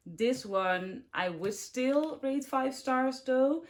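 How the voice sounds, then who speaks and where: A woman's voice: high-pitched, animated, takes it slowly. Chatty Dutch woman in her twenties. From Netherlands. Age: 20s. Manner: chatty, rambling, upbeat. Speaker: book vlogger. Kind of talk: casual book review vlog.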